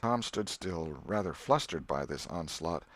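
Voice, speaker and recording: A man reading an audiobook as an expressive scripted storyteller. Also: sits low, unhurried, no echo, clean studio sound. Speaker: audiobook narrator.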